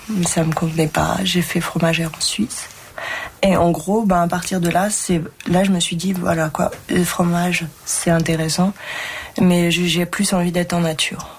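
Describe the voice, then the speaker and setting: Young woman from Paris, halting account. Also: lowish voice, little pitch movement, darkish tone, slight background noise. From Paris area, France. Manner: halting, many "euh" fillers. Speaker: shepherdess trainee. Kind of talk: personal account.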